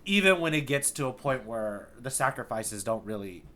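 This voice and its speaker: A man's voice: medium pitch, sing-song, normal speed, bright, forceful tone. A man co-hosting a podcast in casual conversation, thinking aloud in a rambling way and often saying "like". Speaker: podcast co-host. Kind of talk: casual chat recapping a manga arc.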